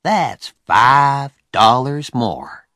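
A reader voicing a rough grown man bargaining over a job. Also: mid-range voice, big pitch swings, extremely slow, fairly bright tone, no room sound, studio quality, pitched above the performer's own voice, heavily acted. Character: rough adult male grave robber.

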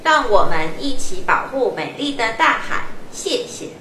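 A woman playing a young girl in a children's radio drama, speaking expressively and clearly. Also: fairly high pitch, sing-song, measured pace, bright, forceful tone, reverberant, a little hiss or hum, exaggerated voice. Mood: earnest. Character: Jill, bright young girl playing on the beach.

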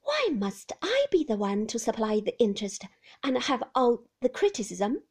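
A woman's voice: on the high side, big pitch swings, darkish tone, breathy edge, heavily acted. A woman reading an audiobook voices a shy, anxious young wife, pleading and emotional. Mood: aggrieved. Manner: pleading, emotional, quick to protest.

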